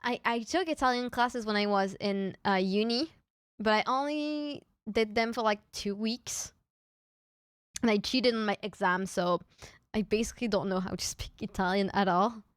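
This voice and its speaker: A woman's voice: medium pitch, normal speed, smooth, dry recording, clean studio sound. A young woman from France, chatting casually with viewers on a live stream, often stammering and restarting her words with little giggles. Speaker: VTuber streamer.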